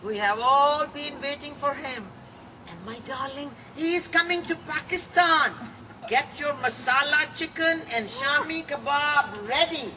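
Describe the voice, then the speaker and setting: A woman performing a comedic reading, playful and laughing, measured in delivery. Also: high voice, bright, forceful tone. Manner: playful, laughing, slow and deliberate. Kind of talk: live comedic dramatic reading. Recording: distant mic in a noisy live room.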